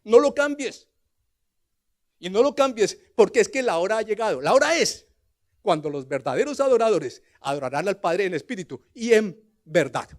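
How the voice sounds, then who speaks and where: A man in his sixties with a Colombian accent preaching a sermon, telling scripture as a dramatic story and moving between hushed asides and loud, emphatic declarations. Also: sits high, expressive, moderate pace. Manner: rhetorical questions to the congregation.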